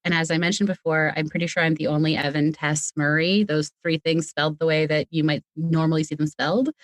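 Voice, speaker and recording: A woman's voice: low-pitched, fairly even intonation, brisk, no echo. A woman chatting casually as a podcast guest, playful and self-deprecating, wandering off into wordy asides. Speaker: podcast guest, audio fiction creator.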